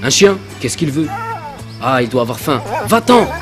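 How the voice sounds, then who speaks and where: A man voicing a greedy, sly, grumbling traveller in an animated cartoon, whining and exclaiming as he goes. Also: mid-range voice, moderate pace, natural voice. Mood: tired, irritated. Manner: exclamatory, grumbling, panting and moaning. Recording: music under the voice. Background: music.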